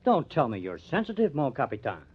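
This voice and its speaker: A man's voice: medium pitch, huge pitch swings, brisk, breathy edge. An actor playing a cynical young male newspaper artist with an affected, wry manner in an old-time radio crime drama, on a muffled old recording. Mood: sardonic. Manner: sardonic, affected, theatrically wry.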